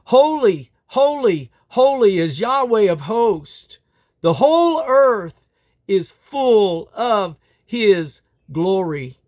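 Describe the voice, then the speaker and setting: A man voicing a commanding heavenly being from scripture, proclaiming loudly in drawn-out, declamatory phrases. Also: high voice, very animated, voice pushed up, heavily acted. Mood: exalted, reverent. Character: seraph and God, commanding heavenly voice proclaiming solemnly. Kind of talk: dramatic scripture reading.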